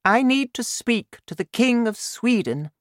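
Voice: medium pitch, lively intonation, measured pace, no room sound, studio quality, voice pushed up, lightly acted. A reader voicing a commanding, polite grown woman, a Queen in a storybook. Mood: commanding, concerned.

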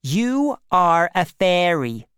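A woman's voice: lowish voice, big pitch swings, slow pace, fairly bright tone, clear, resonant tone, no room sound, pitched above the performer's own voice, heavily acted. A woman voicing a confident, cunning boy in a storybook, speaking in an assured, commanding way.

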